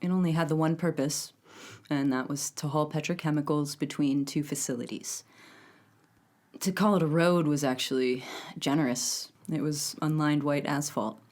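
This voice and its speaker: A woman acting as a plainspoken police chief interviewed for an audio drama documentary. Her voice is low, and she lays out facts drily and without hurry. She sounds official yet conversational. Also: steady tone, moderate pace, a little mellow, natural voice. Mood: dry, factual. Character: plainspoken female small-town police chief giving an interview.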